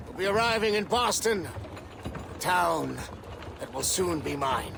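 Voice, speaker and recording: A cackling gloating villain officer. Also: male voice, high voice, animated, measured pace, creaky, full character voice. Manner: taunting, mocking laughs. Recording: game cutscene with loud background noise.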